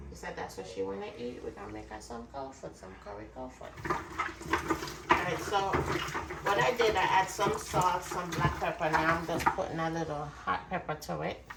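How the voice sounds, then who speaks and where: A woman's voice: fairly low pitch, vocal fry, distant mic. A woman chatting casually to viewers as she hosts a cooking demonstration. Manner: chatty, conversational, addresses viewers directly. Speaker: cooking vlog host. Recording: music behind, roomy, off the mic. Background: music.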